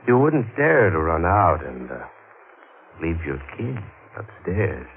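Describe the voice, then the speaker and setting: A man's voice: low voice, big pitch swings, takes it slowly, breathy edge, exaggerated voice. A male actor with an American accent playing a menacing, injured gunman in an old-time radio thriller, curt, commanding and sardonic. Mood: taunting, controlled. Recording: muffled, noisy old radio recording.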